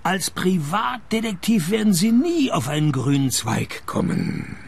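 An actor playing a dry, sardonic private detective who narrates and questions people in a radio play, with a deadpan manner. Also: male voice, high-pitched, a little slow, vocal fry, slightly rough, full character voice.

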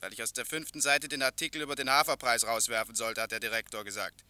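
A man's voice: medium pitch, fairly even intonation, quick pace, bright, forceful tone. An actor playing a defensive, harried male print-shop foreman in an old radio play. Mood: matter-of-fact. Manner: matter-of-fact, then flustered.

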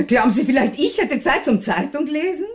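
A woman's voice: high voice, sing-song, normal speed. Theatrical, swooping, talkative French landlady. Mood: indignant, feisty. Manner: garrulous, dramatic, easily affronted. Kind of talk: 1960s German radio play acting.

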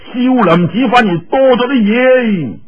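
A man with a Cantonese accent voicing a snide martial arts sect leader in a mocking, drawn-out manner. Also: very high-pitched, animated, pitched above the performer's own voice, heavily acted. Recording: dull, lo-fi audio.

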